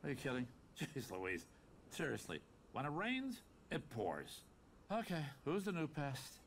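An actor with an American accent playing a sarcastic, fast-talking god of the underworld in a video game, slick and theatrical. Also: male voice, mid-range voice, wildly swooping pitch, a little hiss or hum. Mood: exasperated. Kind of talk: animated video game acting.

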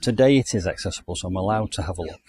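A man's voice: sits low, rapid-fire, a little mellow, slight background noise. A man from the UK giving a chatty, enthusiastic walking tour of a country garden outdoors, recorded on the camera he carries. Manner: chatty, enthusiastic, thinking aloud. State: hot and overdressed while walking. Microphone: handheld camera mic, walking.

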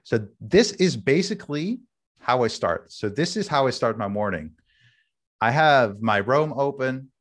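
A man in his thirties whose first language is Dutch, casually walking through a screen-share demo over a headset on a video call, thinking aloud with restarts. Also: mid-range voice. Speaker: workshop host. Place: home room, indoors. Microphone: headset with video call camera.

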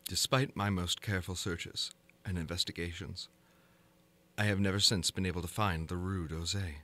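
A man giving a theatrical, deliberate dramatic reading of gothic horror fiction, moving between hushed and intense delivery. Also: low-pitched, steady tone. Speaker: narrator reading a horror story.

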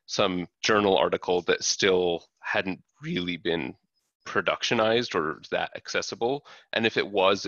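Deep bright-toned man on a call. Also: dry recording. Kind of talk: casual video call discussion. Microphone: video call. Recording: dry dull video call audio.

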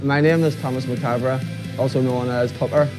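A man's voice: medium pitch, fairly even intonation, normal speed. A young man with a Belfast accent telling his own story in a promotional video testimonial. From South Belfast. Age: young adult. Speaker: programme participant. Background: guitar music.

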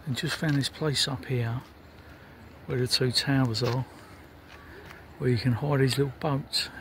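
A man's voice: medium pitch, fairly even intonation, measured pace, fairly bright tone. A man giving casual, unscripted travel commentary as a vlogger, recorded on location while sightseeing. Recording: noisy handheld recording with street ambience.

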